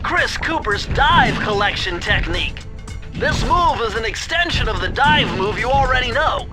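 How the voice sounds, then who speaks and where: A man's voice: very high-pitched, expressive, moderate pace, over music. A man voicing a nerdy, anxious and eager turtle sidekick in a cartoon video game, with a wordy, fussy, pedantic manner. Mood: excited.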